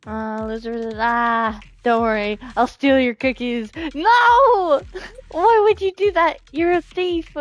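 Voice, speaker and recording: A woman's voice: high-pitched, slow pace, no echo. A woman streaming a game, playful and exclamatory as she reacts and chats with viewers.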